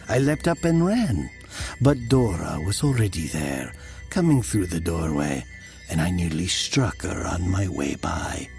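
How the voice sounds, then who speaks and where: An actor playing a haunted, guilt-ridden killer, narrating his confession in a brooding, deliberate, sometimes hushed manner. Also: fairly low pitch, vocal fry, full character voice. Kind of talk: dramatic first-person literary narration. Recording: music behind the voice. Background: music.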